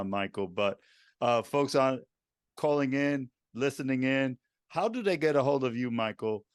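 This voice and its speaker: A man's voice: medium pitch, clear, resonant tone, dry recording, studio quality. A man co-hosting a podcast, affirming the guest's points in a casual way before asking how to reach him. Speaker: podcast co-host. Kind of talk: interview wrap-up.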